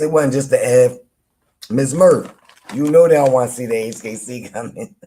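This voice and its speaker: A man with a deep voice, hosting a live chat room and teasing rivals in loose, playful banter with frequent laughter. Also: darkish tone. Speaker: live audio-room host. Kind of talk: casual trash-talking chat to listeners.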